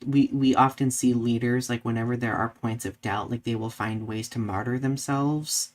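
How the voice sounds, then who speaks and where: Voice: mid-range voice, fairly even intonation, moderate pace. A podcast co-host talking in a casual, conversational way, often dropping in "like" while thinking aloud. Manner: conversational, peppered with "like". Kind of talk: casual discussion, reading out live comments.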